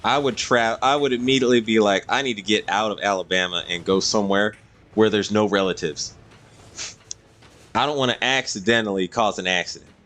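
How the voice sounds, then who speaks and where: A man's voice: on the low side, a little fast, a bit bright. A man doing casual live-stream game commentary, explaining things to viewers in a rambling way.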